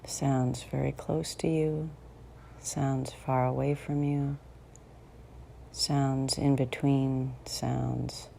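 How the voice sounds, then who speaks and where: A woman leading a guided meditation in a soothing, unhurried manner with long pauses, her soft voice sometimes dropping to near a whisper. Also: very deep voice, little pitch movement, vocal fry. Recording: faint background noise and ambience.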